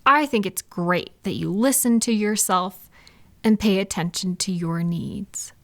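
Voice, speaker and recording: A woman playing a supportive, gentle female friend in an intimate, casual comfort roleplay, speaking in a reassuring, validating way. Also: mid-range voice, a little slow, no echo. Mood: reassuring, affectionate. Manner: reassuring, validating, softly indignant on listener's behalf. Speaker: audio roleplay performer.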